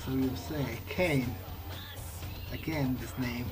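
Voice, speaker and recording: A man's voice: mid-range voice, fairly even intonation, slow. A man improvising in-character replies as he plays a game, conversational, questioning and often hesitant. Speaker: gamer role-playing his character. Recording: game music behind the voice. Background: game music.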